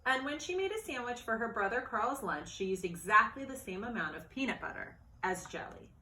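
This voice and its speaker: A woman reading a children's storybook aloud in an expressive, animated narrating style. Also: on the high side, fairly quick. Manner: expressive, acts out dialogue and exclamations. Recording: roomy, echoey sound.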